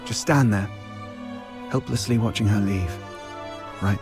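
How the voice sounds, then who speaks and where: Slow-paced, wry investigator. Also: male voice, lowish voice, over music. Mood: knowing. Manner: measured, musing, wry. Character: wry, shrewd male investigator, cool-headed and cautious. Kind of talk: video game voice acting.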